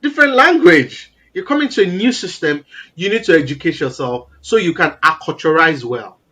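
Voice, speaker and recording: Loud emphatic Nigerian-raised man. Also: on the high side, normal speed. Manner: animated, emphatic, repeats words for stress. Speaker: guest. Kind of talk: casual interview conversation.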